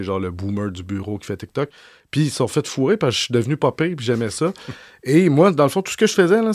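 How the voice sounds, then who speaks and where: A man in his forties with a Québécois French accent, a journalist answering interview questions, talking fast with many restarts and repeated words. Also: medium pitch, no room sound, studio quality. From Quebec. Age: 40s. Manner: lively storyteller. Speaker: journalist guest. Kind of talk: candid conversational interview answers.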